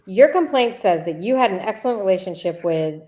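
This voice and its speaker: A woman reading a deposition transcript as a persistent attorney who needles a witness and repeats questions deliberately. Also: medium pitch, lively intonation, darkish tone, natural voice. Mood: insistent, exasperated. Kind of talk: read-aloud deposition transcript.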